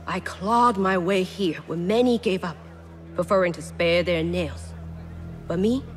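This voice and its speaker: An actor playing a proud, refined, ruthless self-made treasurer, speaking in a poised and deliberate way. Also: medium pitch, faint music behind, natural voice. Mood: steely, proud. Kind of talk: dramatic game cutscene dialogue. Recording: loud score behind.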